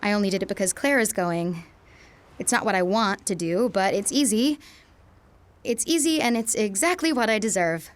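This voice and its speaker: A woman performs a high school girl in a radio play, deeply in love and unsure of herself. Her medium-pitched voice is emotional and searching, leaning hard on her words. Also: expressive, moderate pace, lightly acted. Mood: wistful, resigned. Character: lovestruck, intense high school girl, self-doubting. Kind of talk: dramatic audio monologue.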